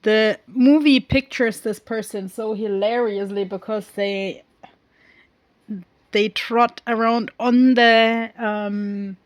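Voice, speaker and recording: A woman recapping a film in a casual, rambling way, with many pauses and fillers. She speaks English as a second language and often goes off on tangents. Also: a little high, fairly even intonation, no room sound. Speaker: film reviewer.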